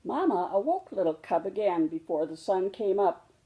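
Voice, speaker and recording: A woman narrating a children's picture book aloud in a gentle, deliberate manner. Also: medium pitch, very animated, narrow, tinny audio. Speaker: storybook reader.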